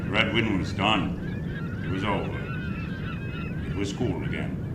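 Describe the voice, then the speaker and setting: An actor playing a world-weary, terse hardboiled detective with an American accent in a 1940s radio noir play. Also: male voice, low voice, measured pace, heavy background noise. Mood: wistful. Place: live theater stage. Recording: echoey theater stage with live music. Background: loud live guitar music.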